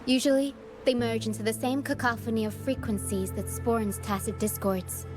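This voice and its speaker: A woman plays a solemn young guide and marksman from a fantasy video game. Her voice sits fairly high, and she explains things in measured, composed lines over prominent music. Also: close to an everyday voice. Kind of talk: scripted video game voice acting.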